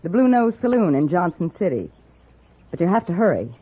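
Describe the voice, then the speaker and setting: Young frontier sweetheart, hesitant, anxious, very lively intonation, thin vintage radio sound. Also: female voice, fairly low pitch, fairly quick, husky. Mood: anxious, pleading. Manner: earnest, faltering. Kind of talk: 1950s radio western acting. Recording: tinny old radio recording.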